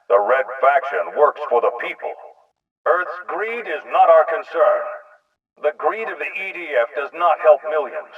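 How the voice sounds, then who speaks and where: An actor playing a defiant male rebel broadcaster delivering propaganda slogans over a filtered loudspeaker in a video game. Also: medium pitch. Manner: declarative, sloganeering. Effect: loudspeaker radio filter. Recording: tinny band-limited loudspeaker broadcast, distant.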